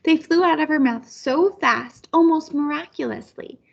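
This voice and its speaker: High-pitched woman reading a children's story. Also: moderate pace, creaky, highs cut off. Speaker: storybook reader. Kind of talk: read-aloud children's story narration.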